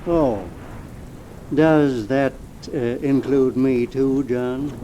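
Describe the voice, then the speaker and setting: An actor playing a weak, defeated accomplice facing death in an old radio drama, halting, resigned and bitterly ironic. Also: male voice, mid-range voice, steady tone, dark tone, a little hiss or hum, poor audio. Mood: resigned, fearful. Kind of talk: 1950s radio drama acting. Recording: old radio recording under rain and thunder.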